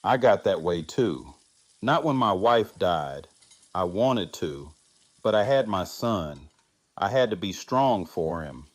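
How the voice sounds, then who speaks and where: A man acts out a widowed survivor, haunted and reflective. He speaks very slowly and haltingly, in a subdued way, recorded close and dry for audio drama. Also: low-pitched, sing-song, no echo, exaggerated voice. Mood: grieving. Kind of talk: fan audio drama acting.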